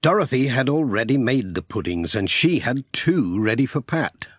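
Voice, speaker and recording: A man's voice: medium pitch, lively intonation, normal speed, slightly rough, no echo. A man with a British accent narrating a scripted children's story as an audiobook reader.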